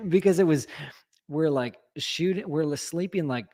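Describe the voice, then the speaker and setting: A man's voice: on the high side, measured pace, warm tone. A man telling a long, rambling story in a casual conversation, full of fillers and restarts. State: recovering from lost voice. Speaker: co-host telling a home renovation story.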